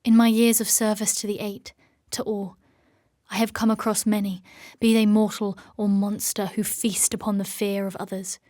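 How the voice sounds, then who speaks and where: An actress plays a guarded warrior woman from a dark fantasy audio drama. She is solemn and formal, weighing each phrase with measured gravity. Her voice sits at a middle female pitch. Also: flat delivery, no echo, clean studio sound, lightly acted. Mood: solemn, brooding. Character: grave, guarded warrior woman, a knightly mentor figure.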